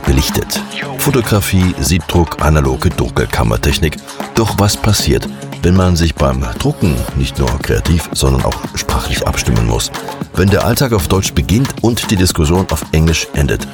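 A man hosting a radio feature, reading a scripted introduction in a lively, inviting way before asking guests questions. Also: deep voice, moderate pace, over music. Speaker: radio show host.

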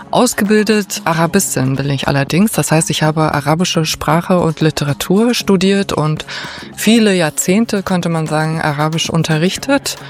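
Measured middle-aged woman from Berlin. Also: sits low. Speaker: head of a cultural office, interviewee. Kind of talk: interview answers.

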